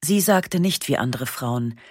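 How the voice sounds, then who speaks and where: A woman narrates a memoir for radio in a calm, measured way, her voice slightly husky. The studio sound is close and dry, with no room around it, and her pauses are slow and matter-of-fact. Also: very deep voice, dry recording. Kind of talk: literary first-person narration, radio reading.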